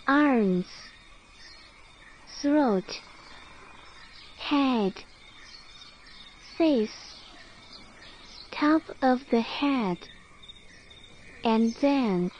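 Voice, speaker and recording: A woman leads a guided sleep meditation at an extremely slow pace, leaving long pauses between short phrases. Her voice sits fairly high. The recording is slightly muffled, with crickets, birds and some noise behind her.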